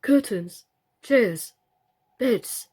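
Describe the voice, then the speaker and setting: A woman's voice: on the high side, expressive, drawn-out words, darkish tone, husky, full character voice. A woman voicing an anxious, hopeful male doll in a children's storybook, fretful and earnest. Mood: earnest wishing.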